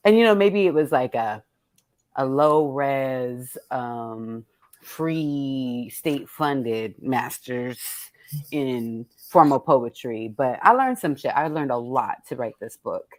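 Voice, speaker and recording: A woman's voice: extremely low pitch, lively intonation, slow, a little mellow, no room sound. A woman speaking as a poet and host, casually and candidly introducing a poetry reading, salty-tongued and thoughtful as she searches for words.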